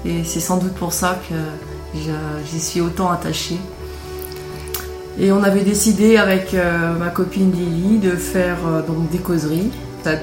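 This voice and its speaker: A woman from Noirmoutier, a native patois speaker, answering a radio interviewer in a halting way with many hesitations. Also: on the low side. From Noirmoutier island, France. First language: patois of L'Épine. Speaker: author being interviewed. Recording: roomy radio interview with music behind.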